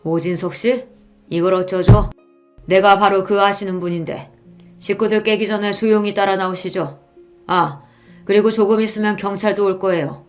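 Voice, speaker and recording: A woman's voice: mid-range voice, fairly even intonation, moderate pace, faint music behind, close to an everyday voice. A woman voicing a veteran prosecutor in a story, polite, controlled and sardonically menacing. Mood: cool sarcasm. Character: coolly menacing prosecutor, the father's old friend.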